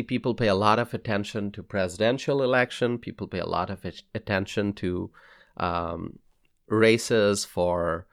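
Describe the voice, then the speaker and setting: A man with a Bangladeshi accent hosting a solo political podcast, speaking in a deliberate, emphatic way and repeating phrases for weight. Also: on the low side, vocal fry, dry recording, studio quality.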